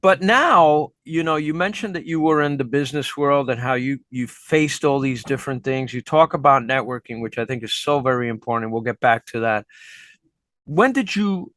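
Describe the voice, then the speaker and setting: A man's voice: mid-range voice, moderate pace, a bit bright, no echo. A middle-aged man hosting an interview, a teacher who talks in a chatty, reflective way. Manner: chatty, reflective, many fillers. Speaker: host and teacher. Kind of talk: interview questions and comments.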